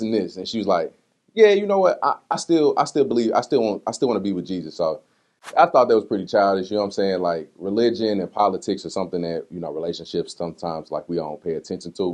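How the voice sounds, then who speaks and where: Male interview guest, low-pitched voice, slangy and conversational, telling personal stories informally. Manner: slangy, conversational, animated retelling with acted-out quotes. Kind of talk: casual candid interview talk answering personal questions.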